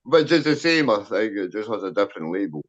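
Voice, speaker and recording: Caller, man, forties, dry humor, patient explainer; lowish voice, muffled line. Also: big pitch swings, fast talker. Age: 40s. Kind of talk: casual chat. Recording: dull lo-fi line.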